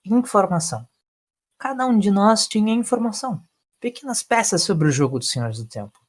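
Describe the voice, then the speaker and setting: An idealistic time traveler from an audio story, played by a male narrator, reasoning earnestly and persuasively. The voice sits fairly high for a man. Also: animated, moderate pace. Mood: earnest, reflective.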